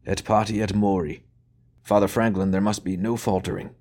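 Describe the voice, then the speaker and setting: A weary, gentle old churchman who speaks softly and kindly, worn thin by fever and age, as a male narrator puts him on. His voice sits low but is lifted a little above the reader's own. It is the quiet, careful speech of a frail elder giving grave news. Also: little pitch movement, a little fast, close to an everyday voice. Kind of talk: audiobook character voice.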